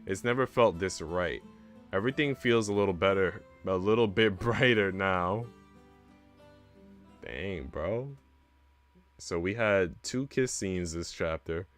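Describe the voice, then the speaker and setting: A man's voice: low-pitched, animated, measured pace, no echo, faint music behind. A young man streaming a visual novel read-aloud, narrating with casual, slangy reactions.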